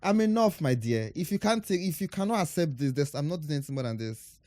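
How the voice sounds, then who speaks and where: A man's voice: a little high, fast, no room sound. A man in his twenties from Nigeria, co-hosting a podcast in casual chat, with a sassy, playful way of telling stories. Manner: sassy, playful, animated storyteller. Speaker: podcast co-host.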